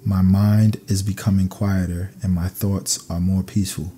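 A man narrating scripted sleep meditation affirmations in a calm, soothing, measured way. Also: low voice, a little hiss or hum.

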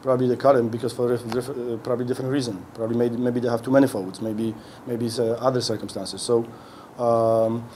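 A middle-aged man speaks as a head coach at a press conference podium, his voice dark and mellow. He talks haltingly, restarting phrases as he hunts for words. Faint background noise sits under the podium mic. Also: mid-range voice, flat delivery. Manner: halting, many restarts while searching for words. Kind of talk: post-game press conference answers. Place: indoor press room. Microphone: podium mic on a stand.

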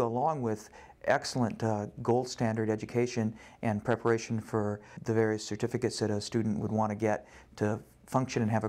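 A man speaks in a fairly low voice with very little rise and fall. He explains things as an instructor would, in long, formal, informational sentences. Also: a little mellow. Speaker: college program instructor. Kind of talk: informational promotional talk.

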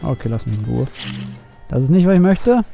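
A man's voice: mid-range voice, very animated, a little fast, vocal fry, dark tone, highs cut off, faint music behind. A man giving casual, playful live commentary as he streams a video game and chats with viewers.

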